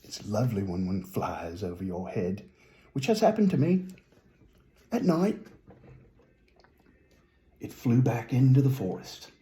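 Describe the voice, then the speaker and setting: Mellow, slow-paced man reading a children's story. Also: mid-range voice, reverberant. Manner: whimsical, musing asides to the listener. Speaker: storybook reader.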